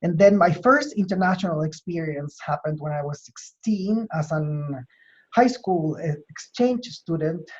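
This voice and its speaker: A man's voice: on the high side, unhurried, a little mellow. Chilean-accented man in dull lo-fi audio. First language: Spanish. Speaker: university administrator being interviewed. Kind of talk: interview answers, explanatory.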